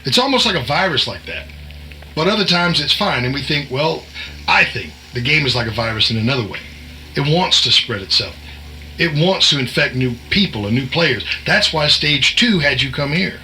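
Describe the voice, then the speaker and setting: A man's voice: mid-range voice, lively intonation, moderate pace, bright, forceful tone, a little hiss or hum, low-quality recording. A man playing a folksy, wisecracking secret agent posing as a night cook, a glib and sardonic explainer, in an audio drama. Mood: wry, explaining.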